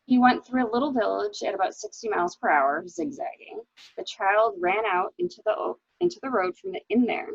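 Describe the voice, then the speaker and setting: A woman plays an anxious widow in a murder mystery, hesitant yet dramatic. Her husky voice swoops expressively and crackles with fry, on a dry, dull lo-fi recording. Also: mid-range voice, very animated, a little fast, no room sound, exaggerated voice. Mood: somber, recounting. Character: anxious, hesitant new widow recounting her husband's misdeeds. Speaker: library staff reader.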